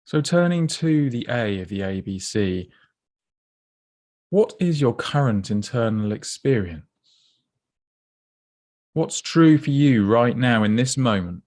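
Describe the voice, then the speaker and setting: A man with a mid-pitched voice guides a body scan meditation. He speaks gently and without hurry, giving soft instructions and leaving space between his phrases. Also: lively intonation. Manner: gentle, unhurried, instructive, with pauses.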